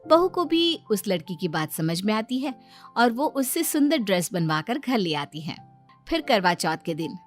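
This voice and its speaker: A woman's voice: medium pitch, very animated, normal speed, faint music behind. A woman narrating a scripted children's story as a storyteller.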